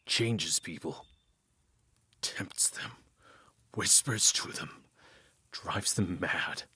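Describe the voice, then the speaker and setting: A man acting in a fantasy audio drama as an earnest middle-aged father and guardian, explaining things in a measured way with hesitant pauses. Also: low-pitched, sharp tone, slightly rough, dry recording, in a whisper, exaggerated voice. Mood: ominous.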